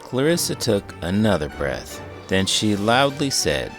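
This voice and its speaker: Low, animated man reading a storybook. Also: unhurried, music in the background. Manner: theatrical, expressive. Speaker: host, children's book reader. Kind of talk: animated storybook read-aloud narration.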